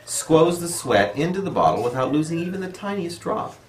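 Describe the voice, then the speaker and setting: Guy reading his poems at home, pretty animated pitch, echoey room, bit of noise. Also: a little fast. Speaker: poet. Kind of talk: live poetry reading. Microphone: camera microphone, no dedicated mic. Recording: roomy echo, faint noise, amateur video.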